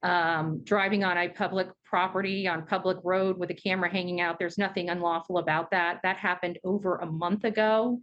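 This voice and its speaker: A woman's voice: on the low side, steady tone, brisk, few pauses, fairly bright tone, muffled recording. A woman giving unscripted answers in a television news interview. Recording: TV interview with faint noise.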